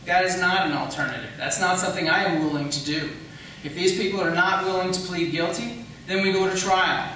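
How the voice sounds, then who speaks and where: A man's voice: sits high, fairly even intonation, brisk, sharp tone. A man in a political debate making an argumentative rebuttal as a candidate, explaining step by step and posing questions. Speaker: candidate for county attorney. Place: large echoey room at a public debate. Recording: echoey room, distant mic, faint noise.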